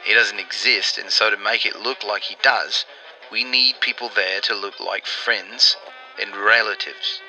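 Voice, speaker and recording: An actor playing an earnest, persuasive Allied intelligence operative in a 1940s-style radio drama, explaining things deliberately. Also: male voice, on the low side, fairly even intonation, bright, forceful tone, faint music behind, exaggerated voice, rough recording. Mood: businesslike, urgent.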